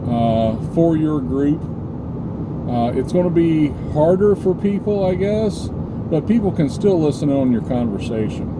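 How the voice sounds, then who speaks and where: A man giving an informal instructional vlog talk, explaining deliberately and often checking in with the listener. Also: on the high side, heavy background noise. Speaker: preparedness video host, former law enforcement officer.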